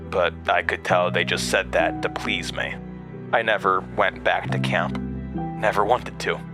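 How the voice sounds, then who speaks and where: An actor playing a traumatized man recounting his horrifying past in an audio drama, halting and stammering. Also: lowish voice. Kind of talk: audio drama monologue, first-person narration. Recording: music under the voice.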